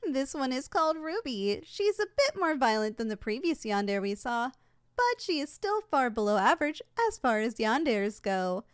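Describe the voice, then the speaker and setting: Female actor as polite, unflappable saleswoman for an audio roleplay; high, very animated voice, formal sales patter. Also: moderate pace, clear, resonant tone. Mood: polite, salesy. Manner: formal, courteous, matter-of-fact about danger. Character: polite, unflappable saleswoman giving a yandere shop tour.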